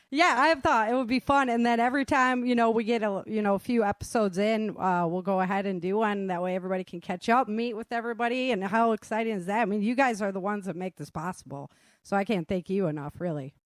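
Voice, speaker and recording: A woman's voice: medium pitch, quick pace, no echo. A woman hosting a casual group chat, talking in a chatty, giggly way. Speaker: host and author of an audio drama.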